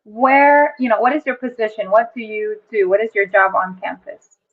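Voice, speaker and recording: A woman's voice: mid-range voice, moderate pace. A woman hosting an interview with students, upbeat with short reactions. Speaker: interview host. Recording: tinny phone-like audio.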